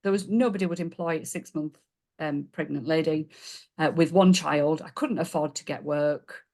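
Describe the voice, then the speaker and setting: A woman's voice: low voice, steady tone, moderate pace. A woman in her forties with a Yorkshire accent, guesting on a podcast and telling her story in a chatty, self-deprecating way. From Yorkshire, England. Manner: warm, chatty, self-deprecating, laughs along. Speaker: podcast guest, business coach. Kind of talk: casual interview storytelling.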